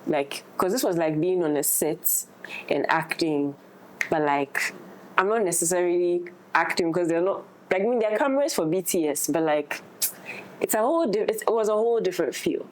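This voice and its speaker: A woman's voice: on the low side, normal speed, slight background noise, rough recording. A woman talking casually as an actress in a roundtable discussion.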